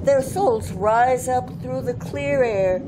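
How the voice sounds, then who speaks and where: Brief: woman as grave, protective elderly grandmother of the sea, fairly high voice, radio play, heavy background noise. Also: slow pace. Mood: solemn, wondering. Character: wise old mermaid grandmother, loving, grave, protective. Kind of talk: old-time radio drama acting. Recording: very noisy live theater recording.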